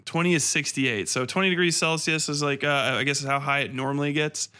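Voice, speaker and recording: A man's voice: a little high, steady tone, a little fast, sharp tone, clear, resonant tone. An American man from the Midwest hosting a podcast in casual, rambling solo chat, irreverent, sweary and self-deprecating. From Cincinnati, American Midwest. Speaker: podcast host.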